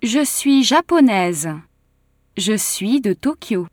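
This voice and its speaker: A woman voicing a young female student in a slow, clearly spoken language-course dialogue. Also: a little high, expressive, no room sound, clean studio sound. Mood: polite. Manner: careful, clearly articulated. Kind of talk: slow scripted textbook dialogue.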